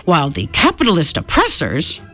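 A woman voicing a blustering middle-aged father who shouts and lectures. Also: fairly low pitch, wildly swooping pitch, brisk, soft background music, exaggerated voice. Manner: bellowing, lecturing.

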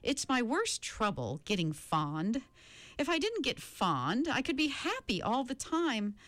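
Storybook medium, played by a woman: doting, gushing, high and very animated, close dry sound. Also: measured pace, no echo. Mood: fond, jolly. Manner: wailing, exclamatory, affectionate. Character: doting, jolly, sentimental female fortune-telling medium.

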